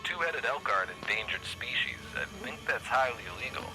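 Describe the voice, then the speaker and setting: An actor playing a radio interviewer who is dry, skeptical and sardonic, in a satirical interview. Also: male voice, mid-range voice, expressive, fairly quick, natural voice, low-quality recording. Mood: dry disbelief. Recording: music behind the voice.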